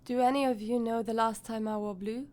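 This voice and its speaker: Woman voicing an earnest young woman, reflective, higher pitch. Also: steady tone, a little fast, a little mellow, lightly acted. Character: young female singer, thoughtful and caring.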